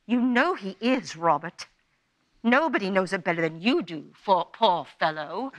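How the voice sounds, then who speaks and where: A woman playing a warm but easily indignant Victorian wife and mother in a radio play, with emphatic, tart delivery. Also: mid-range voice, animated, measured pace, natural voice.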